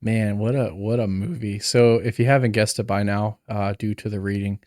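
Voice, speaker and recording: A man's voice: lowish voice, fairly even intonation, moderate pace, a little mellow, dry recording, studio quality. A man co-hosting a podcast, chatting casually and rambling with plenty of fillers. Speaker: podcast co-host. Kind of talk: casual chat.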